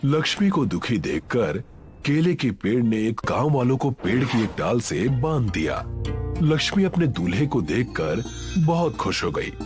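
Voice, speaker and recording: A man's voice: mid-range voice, very animated, unhurried, darkish tone, soft background music. A man narrating a scripted folk story as a storyteller.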